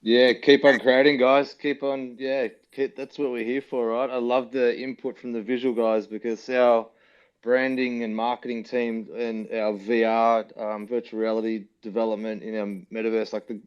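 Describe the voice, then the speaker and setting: A man's voice: medium pitch, little pitch movement, normal speed, clear, resonant tone. A man chatting casually and encouragingly as a guest, over a dull connection. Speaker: interview guest. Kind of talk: casual chat.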